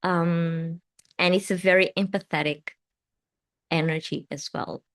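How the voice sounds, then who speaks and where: A woman with a Portuguese accent speaks English, her second language, in a fairly low voice. She hosts a live tarot and meditation stream, answering comments in a gentle, soothing way, and her sentences often trail off softly. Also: fairly even intonation, a little slow. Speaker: tarot reader and meditation host.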